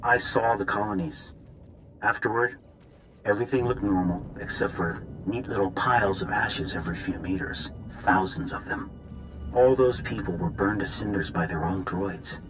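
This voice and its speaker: A man's voice: lowish voice, moderate pace, close to an everyday voice, poor audio. Grave intelligence director, played by a man, music underneath. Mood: grave, urgent. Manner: clipped, earnest, briefing. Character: grave, urgent male military intelligence director. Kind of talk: video game voice acting.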